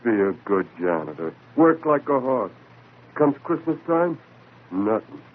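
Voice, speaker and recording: A man's voice: medium pitch, sing-song, a little slow. A grumbling New York janitor in an old radio play. He is surly, slangy and tough. The recording is narrow and tinny. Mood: aggrieved grumbling. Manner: sullen, slangy wise guy. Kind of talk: 1940s radio drama acting.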